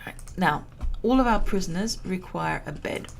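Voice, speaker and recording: A woman commentating a building game at a relaxed pace, chatty and self-amused, and she is losing her voice. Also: on the low side, normal speed, vocal fry, a little hiss or hum. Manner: chatty, self-amused, laughs at own jokes. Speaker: gameplay commentator. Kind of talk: relaxed let's-play commentary.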